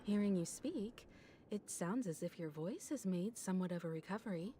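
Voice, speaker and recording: Mellow, courteous game woman played by an actress. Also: lowish voice, animated, moderate pace, slight background noise, natural voice. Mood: relieved, courteous. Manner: polite, composed phrasing. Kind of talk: scripted game dialogue.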